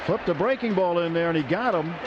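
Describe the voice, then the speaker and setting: A male radio play-by-play announcer calls a baseball game in a brisk, steady rhythm, his voice climbing with excitement on strikeouts. His voice sits a little high. The broadcast sound is somewhat muffled, with loud ballpark noise behind him. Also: a crowd in the background. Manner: brisk, rising excitement on big plays.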